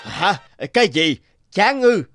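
A man's voice: high voice, big pitch swings, takes it slowly, fairly bright tone, dry recording, studio quality, soft background music, full character voice. A man voicing a greedy, vain, blustering village landlord in a cartoon, pompous and bossy. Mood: indignant. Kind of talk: cartoon dubbing.

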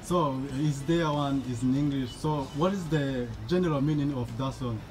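A man's voice: mid-range voice, moderate pace, over music. A man interviewing a graduate outdoors, asking friendly questions from behind the camera. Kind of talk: casual interview.

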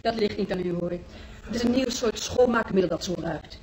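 An actress playing a brisk, polite hospital nurse in a radio play. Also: female voice, mid-range voice, a little mellow, close to an everyday voice, low-quality recording. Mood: brisk, businesslike. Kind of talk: Dutch radio play acting. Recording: old radio play with faint noise.

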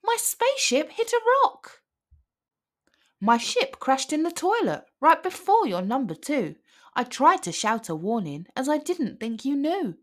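Storybook role: tiny friendly space dinosaur, earnest and expressive, high and very lively played by a woman. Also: unhurried. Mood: excited.

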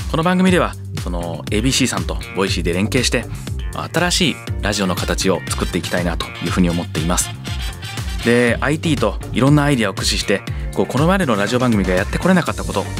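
A man hosts a radio talk show in Kansai-accented Japanese over a music bed. His pitch swings widely as he talks quickly, sounding enthusiastic and colloquial. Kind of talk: casual, conversational radio talk.

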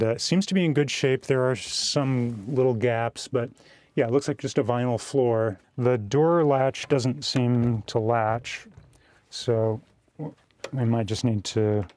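A man in his forties talks through an old camper in a calm, thoughtful way, pausing often to weigh what he sees. His voice sits at a plain middle pitch, and he sounds close to a clip-on mic. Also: no echo. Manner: thoughtful, measured, frequent pauses and fillers. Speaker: vlogger touring a camper. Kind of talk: casual walkthrough narration. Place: small camper trailer and outdoors, plus a dim room for to-camera parts.

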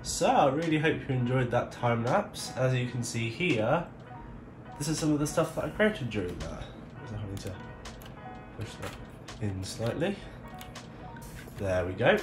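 A man's voice: medium pitch, lively intonation, unhurried. A man giving a casual, unscripted show-and-tell as a vlog host, thinking aloud in an informal way. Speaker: YouTube host showing a hobby build. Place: indoor room beside the build. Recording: echoey room, distant mic, music behind.

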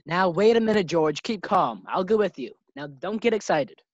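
Dull-sounding, calm male office pal, acted. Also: medium pitch, normal speed, no echo, natural voice. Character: Corey, calm, advice-giving male office friend. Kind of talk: 1940s radio sitcom acting.

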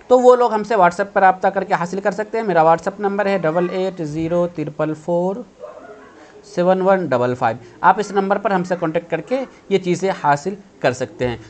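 A man's voice: high-pitched, a little hiss or hum. A man in his thirties teaching an online grammar lesson, patiently explaining and answering his own questions, recorded by webcam indoors. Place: indoor room.

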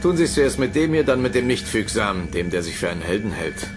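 Cold alien commander over loud music. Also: male voice, on the low side, very animated, fast. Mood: commanding disdain. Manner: detached, condescending. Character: cold, superior male alien commander experimenting on humans. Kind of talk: German sci-fi radio drama acting.

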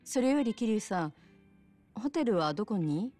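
An actress playing a kind, soft-spoken bar owner with a polite, considerate manner in a video game cutscene. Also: female voice, medium pitch, big pitch swings, moderate pace, faint music behind. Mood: gentle, apologetic. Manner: polite, considerate, gently casual.

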